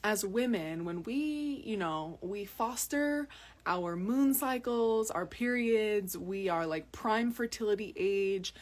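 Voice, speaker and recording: A young adult woman from Massachusetts, chatting casually to viewers in a live video recorded outdoors on a phone, often repeating words for emphasis. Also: medium pitch. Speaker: health vlogger. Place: outdoors in cold mountains.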